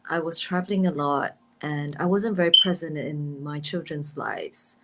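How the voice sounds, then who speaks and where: A woman from Singapore speaking as a guest in a video-call chat, calm and reflective. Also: sits low, moderate pace, heavy background noise. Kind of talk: casual chat.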